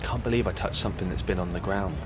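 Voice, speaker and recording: A man's voice: low-pitched, quick pace, noisy background, natural voice. An actor playing a gullible, apologetic young man at a protest in a comic audio drama, tentative and earnest. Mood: bemused, earnest.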